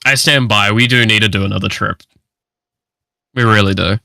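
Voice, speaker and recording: A New man in his twenties chats on a livestream in a slightly low, forceful voice. His talk is loose and slangy, full of swearing, with a very dry sound and little room to it. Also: fairly even intonation, normal speed, gravelly, no echo. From New Zealand. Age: 20s. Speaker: streamer. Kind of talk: casual livestream chat.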